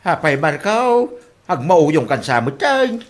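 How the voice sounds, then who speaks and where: A man's voice: on the high side, big pitch swings, takes it slowly. A man with an Ilocano accent acting as an exasperated middle-aged father in a radio comedy drama, scolding and disbelieving. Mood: baffled, exasperated.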